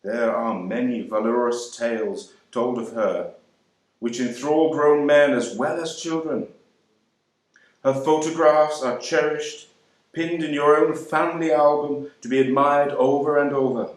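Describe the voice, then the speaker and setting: An actor brings to life a proud young airman with a wry, measured way of talking. He speaks slowly, with deliberate pauses, at an ordinary mid pitch. The voice rings out in a very echoey room. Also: steady tone, natural voice. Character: young Jamaican man serving in the air force, proud and wry. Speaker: actor reading a monologue. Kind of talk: dramatic monologue reading.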